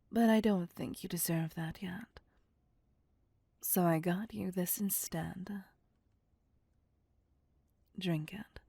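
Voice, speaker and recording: A woman voicing a possessive, vain vampire mistress in an ASMR roleplay, breathy and often whispering, with condescending endearments. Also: lowish voice, a little mellow, no room sound, exaggerated voice. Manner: slow, deliberate, condescending endearments. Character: possessive, vain female vampire, commanding and seductive. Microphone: close, dry microphone.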